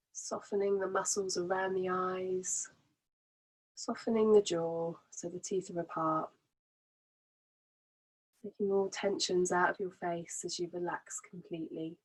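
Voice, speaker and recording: A woman leading a guided relaxation as a meditation teacher, soothing and gently instructing in an unhurried way. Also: on the low side, poor audio.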